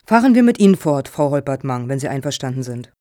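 An actress playing a polite, measured chairwoman of a selection panel in a satirical radio play, speaking courteously and formally. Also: rumbling low, sing-song, warm tone, no echo, studio quality.

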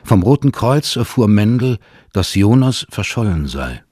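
Low husky guy narrating a radio play, measured storytelling, really dry close sound. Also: very animated, a little mellow, no echo. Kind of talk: literary radio drama narration, scripted.

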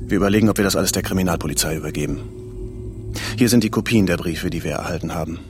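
An actor in a radio play, playing a formal middle-aged boss who confronts an employee in a measured, insistent manner. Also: male voice, sits low, background noise, close to an everyday voice. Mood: formal, pressing.

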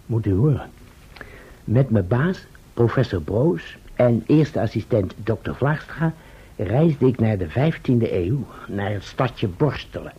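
A cheeky assistant narrating a radio serial. His very husky voice is animated, with a wry, colloquial delivery. The recording is slightly dull. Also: medium pitch, a little slow. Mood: wry recounting. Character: chatty, cheeky young assistant recounting his adventures. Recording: muffled old radio play recording.

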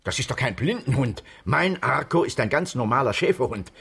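A man's voice: mid-range voice, expressive, brisk, gravelly, a bit bright, breathy edge, full character voice. An actor playing a shifty con man in his fifties in a radio crime comedy, protesting his innocence in a self-pitying whine. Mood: indignant, self-pitying. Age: 50s.

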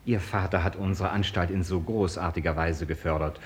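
A man's voice: sits low, fairly even intonation, fairly quick, natural voice. A man acting an earnest hospital doctor in a German radio play, formal, deferential and hesitant in his wording.

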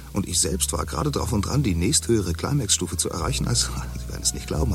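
A man's voice: low voice, gravelly, full character voice. An actor playing an eager man who rambles on about a staged romance, gushing in long winding sentences. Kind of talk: radio play acting.

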